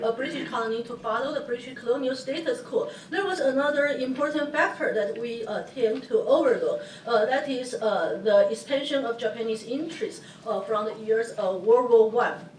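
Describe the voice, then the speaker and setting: Taiwanese woman giving a halting academic talk. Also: a little high, slight background noise. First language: Hokkien. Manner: halting, many uh fillers and restarts. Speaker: panel speaker. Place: lecture hall. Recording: echoey room, distant mic, faint ambience.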